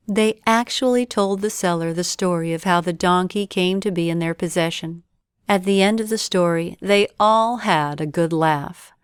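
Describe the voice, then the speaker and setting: A woman's voice: on the low side, darkish tone, no room sound. A woman reading a scripted bedtime story as narrator.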